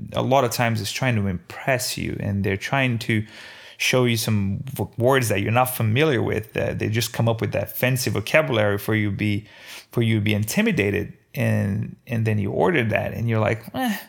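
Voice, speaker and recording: A low, gravelly-edged man's voice with a light Brazilian accent. He talks casually, as an interviewee telling his own story, and often pauses or repeats a word while he finds the next one. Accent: faint Brazilian. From Brazil, Belo Horizonte. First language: Portuguese. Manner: halting, repeats words while thinking. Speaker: restaurant owner being interviewed.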